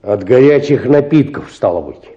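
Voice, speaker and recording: An actor playing a self-important rural medical orderly in a radio play, questioning and prescribing. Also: male voice, medium pitch, sing-song, moderate pace, dark tone, echoey room, highs cut off, lightly acted. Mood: pompous.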